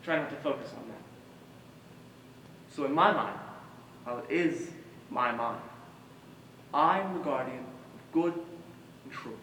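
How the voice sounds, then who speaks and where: A man acting an earnest, protective guardian in a stage monologue, speaking deliberately with emphatic pauses. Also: medium pitch, bright, forceful tone. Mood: solemn, resolute. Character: earnest, protective male guardian with patchy memory. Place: stage in a large hall, distant mic. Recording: echoey hall from far off, noisy.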